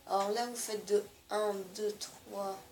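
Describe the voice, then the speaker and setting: A woman's voice: medium pitch, flat delivery, a little slow, reverberant. A woman with a cold, giving hesitant step-by-step building instructions over gameplay. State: stuffy with a cold. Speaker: gaming video creator.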